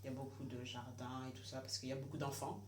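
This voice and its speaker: A woman's voice: very deep voice, level tone, quick pace, echoey room, far from the mic, a little hiss or hum. A woman in her twenties answering interview questions hesitantly, repeating words. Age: 20s.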